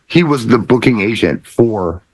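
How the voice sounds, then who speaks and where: A man's voice: on the low side, animated, measured pace, husky, no echo, poor audio. A man co-hosting a casual talk show, explaining things conversationally. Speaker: podcast co-host.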